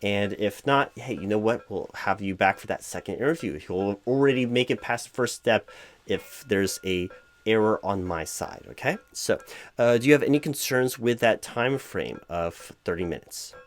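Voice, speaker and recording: A man's voice: on the low side, lively intonation, lightly acted. A man playing a flustered, distracted, eager corporate hiring manager who rambles and stammers in a comedic job interview roleplay. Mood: hurried, upbeat. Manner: halting, stammering, easily sidetracked, apologetic.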